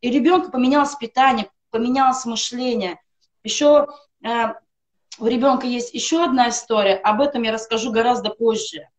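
A woman's voice: on the high side, fairly even intonation, fairly quick. A middle-aged woman giving an earnest personal testimonial on a video call from home, piling up emphatic lists. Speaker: guest on a live stream. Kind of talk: personal testimonial for a wellness product. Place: home room, indoors.

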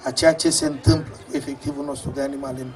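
A man's voice: medium pitch, little pitch movement. A man with a strained voice giving a formal public address as a local official at an outdoor ceremony. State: strained voice, apologised for. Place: open-air fairground stage. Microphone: distant camera, speech over loudspeakers. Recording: distant outdoor PA, clear background noise.

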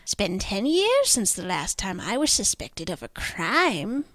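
A woman's voice: mid-range voice, sing-song, measured pace, a bit bright, no room sound. A woman voicing a prim, genteel, no-nonsense older lady in a comedic audio drama. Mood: prim, flattered.

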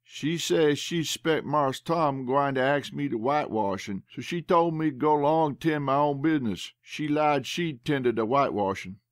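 A man's voice: on the high side, moderate pace, hardly any pauses, no echo, studio quality, lightly acted. A man doing a timid boy. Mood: fearful. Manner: hesitant, pleading. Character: timid young male servant boy.

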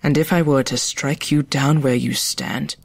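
An actress playing a proud young huntress in a fantasy audio drama, blunt and guarded in manner. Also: female voice, extremely low pitch, steady tone, measured pace, creaky, breathy edge, full character voice. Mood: defiant.

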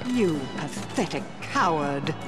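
A woman's voice: sits low, animated, slow. A woman voicing a haughty, commanding evil sorceress in a video game, theatrical and scornful. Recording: loud dramatic game music behind.

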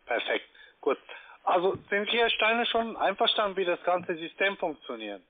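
A man who is not a native German speaker, giving a polite sales pitch on the phone. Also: sing-song, normal speed. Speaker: call-centre caller pitching cryptocurrency. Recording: tinny narrowband phone line.

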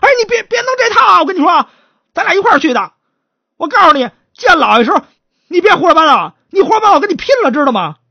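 A man's voice: very high voice, very animated, a bit bright, breathy edge, no echo, muffled recording, pitched above the performer's own voice, full character voice. A man voicing a brash, bossy constable who scolds and talks on and on. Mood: scolding. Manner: scolding, brash, fast talk. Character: bossy, quick-tongued chatterbox constable. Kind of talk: traditional storytelling, acted dialogue.

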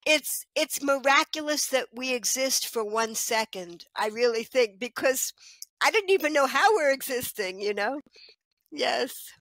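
A woman's voice: high voice, a little slow, no echo, low-quality recording. A middle-aged woman on a video call through a headset mic, chatting animatedly as a guest and often saying "you know". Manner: animated, gestures a lot, lots of "you know". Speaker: guest, children's author. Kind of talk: casual video call chat. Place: small room at home.

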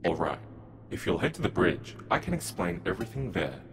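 An actor voicing a calm, courteous ship's computer in a sci-fi audio drama, speaking politely and formally through an electronic effect. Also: deep voice, fairly quick, slight background noise. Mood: matter-of-fact. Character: calm, courteous spaceship AI, male-named. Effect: electronic voice modification.

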